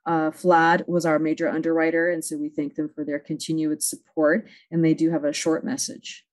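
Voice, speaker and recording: A woman moderates an online conference session from a video call. Her voice sits somewhat low, and she talks in a friendly way with plenty of ums and uhs. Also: little pitch movement, normal speed. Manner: friendly, with frequent fillers. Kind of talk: hosting a virtual panel.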